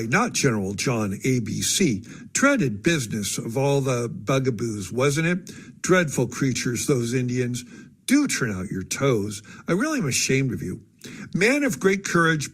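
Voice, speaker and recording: A man's voice: medium pitch, fairly bright tone, heavily acted. A man voicing a bossy, breathless society lady who scolds her dance partner and gushes gossip. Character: bossy breathless dancing hostess.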